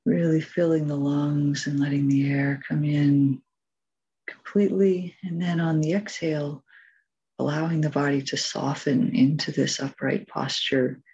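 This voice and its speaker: A woman leading a guided meditation as its teacher, giving gentle, measured instructions with long pauses. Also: extremely low pitch, slightly rough, muffled recording.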